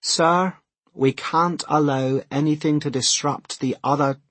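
Audiobook bit part: formal, unyielding male official acted by male narrator, higher pitch, dry sound. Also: fairly even intonation, unhurried, a bit bright, dry recording. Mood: firm refusal. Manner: formal, firm. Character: unyielding, rule-bound male exam official. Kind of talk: audiobook character dialogue.

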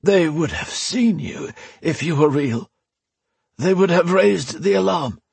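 A man puts on a battered young man gasping near death, his voice pushed high and thin, rasping and wheezing through the pain. It sounds dry and close, with a husky edge. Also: expressive, takes it slowly, a little mellow, no echo, heavily acted. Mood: anguished. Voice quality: raspy, wheezing, strained. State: out of breath, in pain. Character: wounded young man, terrified, half-delirious.

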